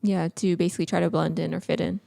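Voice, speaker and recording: A young woman co-hosts a podcast in a loose, chatty way and fills her talk with small hesitations. Her voice sits low and mellow and has a gravelly fry to it. The recording is dry and close. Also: level tone, fast talker, no echo. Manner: chatty, lots of filler words. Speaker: podcast co-host. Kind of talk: casual chat.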